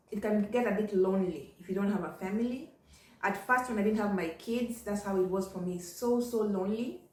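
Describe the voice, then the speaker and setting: A woman's voice: medium pitch, dark tone, roomy sound, distant mic, poor audio. A middle-aged woman, talking candidly in a casual vlog and often repeating "you know". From Kenya. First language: Ugandan. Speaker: vlogger. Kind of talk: casual reflective monologue.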